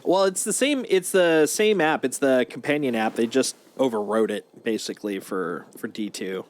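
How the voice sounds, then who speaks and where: A man's voice: medium pitch, normal speed, slight background noise. A man livestreaming a video game, chatting casually as he plays. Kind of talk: casual chat while playing. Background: game music.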